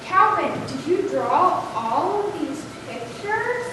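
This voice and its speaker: A woman's voice: extremely high pitch, sing-song, slow, exaggerated voice. A young female performer playing a strict, impatient schoolteacher in an emphatic, scolding way in a school readers' theater. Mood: questioning. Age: young adult or younger, school pupil. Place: large echoey room, distant microphone. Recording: very echoey hall from far off, noisy.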